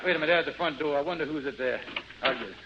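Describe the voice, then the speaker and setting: A high-voiced, scheming husband played by a man from a 1940s radio comedy, forever stammering and wheedling. His folksy lines come through a heavy layer of old broadcast noise. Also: racing, lightly acted. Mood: worried, exasperated. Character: scheming, flustered married man running a rest home. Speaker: lead comic actor. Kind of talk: 1940s radio sitcom acting. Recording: muffled, noisy old radio recording.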